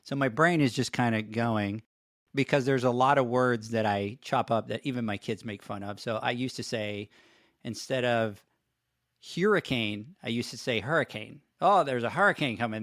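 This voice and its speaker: A middle-aged man from Puerto Rico with a Puerto Rican Spanish accent, a native Spanish speaker, hosting a podcast and telling animated, self-mocking stories in casual banter. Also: mid-range voice, moderate pace, no echo, clean studio sound. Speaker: podcast host.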